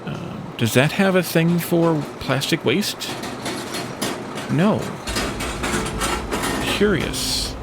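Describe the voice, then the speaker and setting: A man's voice: a little high, animated, very slow, long pauses, noisy background. A man giving casual gameplay commentary, thinking aloud and musing his way through problems as a let's play host. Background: background music.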